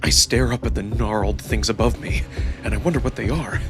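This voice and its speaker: A man performing dramatic first-person story narration with expressive, acted-out delivery. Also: lowish voice, a little fast. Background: music.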